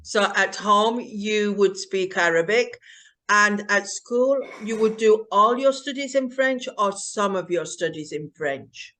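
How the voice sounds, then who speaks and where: Bright-voiced woman host, slow and clear. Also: mid-range voice, poor audio. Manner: slow, clear, teacherly questions. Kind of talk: English-learning interview chat.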